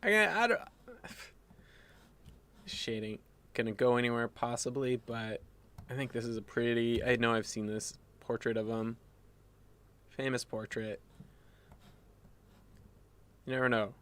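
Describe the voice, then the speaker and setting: A man's voice: medium pitch, measured pace, long pauses, fairly bright tone, clear, resonant tone. A man, a little phlegmy, designing live and musing aloud in a rambling casual way with fillers and restarts, at a desk mic in his home workspace. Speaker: graphic designer streaming his work.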